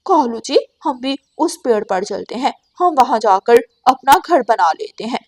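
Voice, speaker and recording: Woman voicing a nagging, high-voiced crow wife. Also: expressive, a little slow. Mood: scheming. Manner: nagging, wheedling. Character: demanding, greedy female crow wife.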